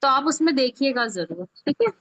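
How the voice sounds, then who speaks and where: A woman's voice: sits high, animated, a little fast, a bit bright. A woman instructor giving an informal live online tutorial, explaining step by step and often checking that learners follow. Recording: dull lo-fi online call audio.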